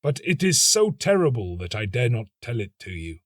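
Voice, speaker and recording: A man voicing a mournful old rose tree in a storybook, speaking in a solemn, drawn-out, foreboding way. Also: medium pitch, very animated, no echo, studio quality. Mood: reluctant. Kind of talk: storybook character acting.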